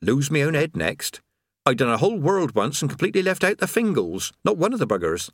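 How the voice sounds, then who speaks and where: A man's voice: a little high, big pitch swings, a little fast, dry recording, studio quality, pitched above the performer's own voice. A man voicing a chatty, rambling world-maker. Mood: breezy. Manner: chatty, rambling, casual workman's talk. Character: cheerful absent-minded male creator of worlds, chatty tradesman.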